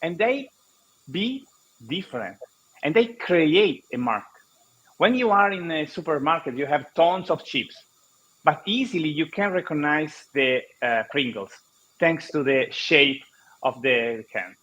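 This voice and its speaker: Italian guy talking marketing on a video call, a bit high voice, dull muffled sound, stops and restarts a lot. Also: lively intonation, a bit bright. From Italy. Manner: halting non-native English, many restarts, explanatory. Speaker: guest speaker. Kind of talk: online conference interview.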